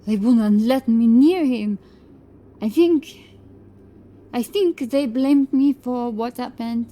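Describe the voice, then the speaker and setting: A woman's voice: a little high, slow, dark tone. A woman playing a frightened medieval prioress accused of witchcraft, pleading her innocence in a horror audio drama. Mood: defensive, pleading.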